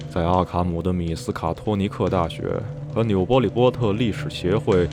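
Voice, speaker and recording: An actor playing a reflective man who recounts a journey from his youth in measured, literary radio drama narration. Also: low-pitched, fairly even intonation, close to an everyday voice. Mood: intrigued. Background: music.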